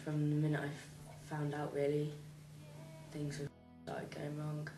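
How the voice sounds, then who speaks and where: A woman's voice: deep voice, monotone. An eighteen-year-old woman, a young mother, speaking hesitantly about her pregnancy. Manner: slow, hesitant. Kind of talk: personal testimony. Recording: roomy, music behind.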